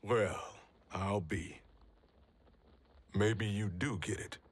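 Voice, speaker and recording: A gruff, blunt soldier. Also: male voice, lowish voice, fairly even intonation, drawn-out words, heavily acted. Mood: pained. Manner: blunt, slangy, tough. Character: gruff, bitter male veteran soldier. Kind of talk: video game cutscene acting.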